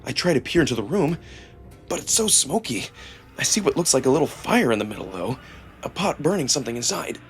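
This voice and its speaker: A man reading a visual novel in the first person as a theatrical, expressive narrator. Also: medium pitch, brisk. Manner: theatrical, expressive, acts out emotion. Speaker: narrator and performer. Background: music.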